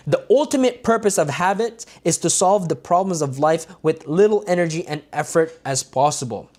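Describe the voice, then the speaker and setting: A man's voice: fairly high pitch, darkish tone. A man reading a self-help book aloud on a livestream, with stumbles and restarts and casual asides to his viewers.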